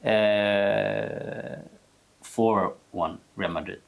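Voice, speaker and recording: A man's voice: on the low side, steady tone, extremely slow, vocal fry. A young man hosting a casual football podcast, easygoing, laughing often and steering the segments. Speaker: podcast host.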